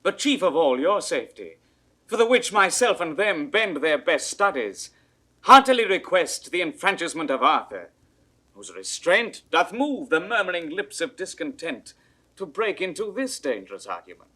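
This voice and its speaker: A man's voice: a little high, big pitch swings, moderate pace, pushed and bright, full character voice. A man acting an earnest, indignant English nobleman in a classical Shakespeare audio drama, declaiming with emphatic rhetoric. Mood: earnest pleading.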